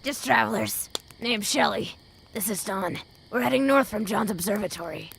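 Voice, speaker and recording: A woman playing a wary, sardonic wasteland traveler in an audio drama, dry and blunt, with a gravelly voice. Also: medium pitch, normal speed, a bit bright, a little hiss or hum. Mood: guarded. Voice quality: gravelly, creaky. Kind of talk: fan audio drama acting.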